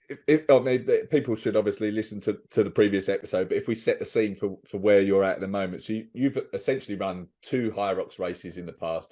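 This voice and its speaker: A man's voice: low-pitched, a little mellow. A man hosting a podcast, asking questions in a casual conversational interview style.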